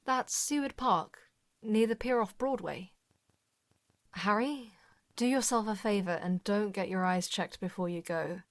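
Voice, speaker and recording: An actress playing a concerned, plainspoken front desk assistant at a police station, talking in a familiar, colloquial way in an audio drama. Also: female voice, medium pitch, a little slow, dry recording, close to an everyday voice. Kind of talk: audio drama dialogue acting.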